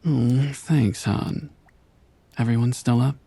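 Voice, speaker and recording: An actor playing a gentle, soft-spoken older grandfather in an animated comic drama, talking without hurry. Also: mid-range voice, slow, creaky, breathy edge, no echo, exaggerated voice. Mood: warm, relaxed. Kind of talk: animated motion-comic voice acting.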